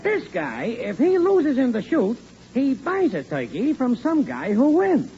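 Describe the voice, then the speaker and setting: Sly male buddy for vintage radio comedy: very animated pitch, knowing, muffled recording. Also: a little slow, a faint crowd, full character voice. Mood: sly, teasing. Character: wisecracking male neighbor pal, sly and casual. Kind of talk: 1940s radio comedy acting. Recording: muffled old radio recording, faint noise.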